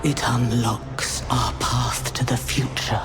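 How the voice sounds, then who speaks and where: A woman playing a proud, cold demon queen in a game, low-voiced, slow and commanding. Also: little pitch movement. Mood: cold resolve. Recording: loud music under the voice.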